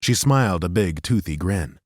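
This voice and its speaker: A man narrating a fantasy audiobook in a steady, even storytelling manner. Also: low-pitched, moderate pace, dry recording, studio quality.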